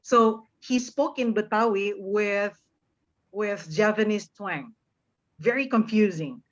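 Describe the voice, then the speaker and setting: A woman's voice: medium pitch, takes it slowly. A middle-aged woman from Indonesia with an American-influenced accent, an encouraging teacher giving informal, instructive advice to learners. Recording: dull, lo-fi online meeting audio.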